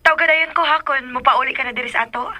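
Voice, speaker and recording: Bright, high-voiced lovelorn woman. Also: fast talker. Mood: resigned, wounded. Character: hurt, clingy woman in unrequited love. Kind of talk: radio drama acting.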